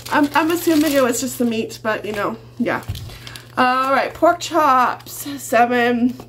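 A woman's voice: sits high, takes it slowly, fairly bright tone, faint music behind. A middle-aged woman chatting casually and in a rambling way to camera as a vlogger. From Canada. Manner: rambling, chuckles often. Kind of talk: casual chatty grocery haul vlog. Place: small home kitchen, indoors. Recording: noisy home kitchen camera audio.

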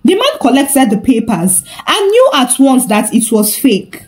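High-pitched, animated woman storyteller. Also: a little slow. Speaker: storyteller and channel host. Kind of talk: scripted folktale narration.

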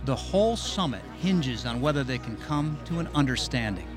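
Male narrator, mid-range voice, scripted documentary read with clear music underneath. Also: medium pitch, lively intonation, normal speed. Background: background music.

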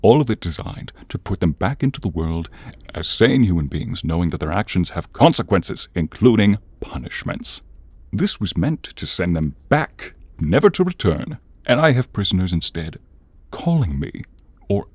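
A man playing an exasperated, menacing horned demon in an ASMR roleplay, theatrical and grumbling, with a husky, breathy voice. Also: low-pitched, sing-song, moderate pace, a little mellow, no echo, full character voice. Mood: indignant, exasperated.

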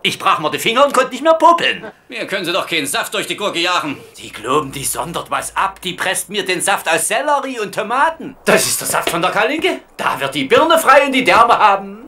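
Sitcom dub: pompous, whining commandant, middle-aged, high and very animated, theatrically exasperated. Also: male voice, brisk, hardly any pauses, slight background noise, heavily acted. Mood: grumbling. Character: pompous, exasperated, blustering German camp commandant. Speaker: dubbing actor in a TV sitcom. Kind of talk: comic sitcom dub, slangy ranting.

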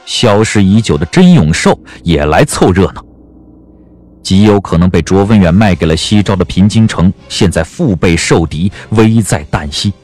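A man's voice: low voice, animated, moderate pace, darkish tone. A man narrating a Chinese period novel as a dramatic scripted audiobook. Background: background music.

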